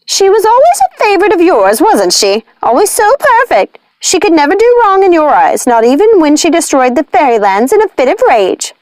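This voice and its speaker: A woman voicing a quick-tempered, sly witch from a storybook, theatrical, haughty and taunting. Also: very high voice, big pitch swings, a little mellow, dry recording, pitched above the performer's own voice. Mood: angry, scornful.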